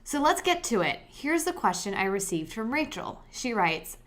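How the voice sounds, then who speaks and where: A woman's voice: on the high side, big pitch swings, normal speed. A young adult woman hosting a podcast, explaining legal topics step by step in a friendly, direct way with a soothing voice. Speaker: podcast host, lawyer.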